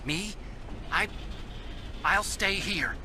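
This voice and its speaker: A man's voice: high voice, expressive, very slow, noisy background, heavily acted. An actor playing a nerdy, earnest young male computer whiz in a video game, with a boyish manner. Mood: insistent. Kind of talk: video game cutscene acting.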